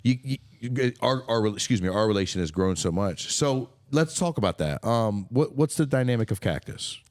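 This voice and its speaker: A man's voice: on the low side, animated, moderate pace, a little mellow, dry recording, studio quality. A middle-aged man hosting an interview podcast, chatting enthusiastically and rambling a little, with the odd casual swear. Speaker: podcast host.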